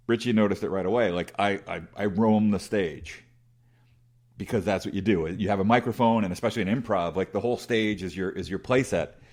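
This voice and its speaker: Big-voiced man telling rambling stories. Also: lowish voice. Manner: rambling, restarts often, self-deprecating. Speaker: interview guest. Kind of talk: casual conversational storytelling.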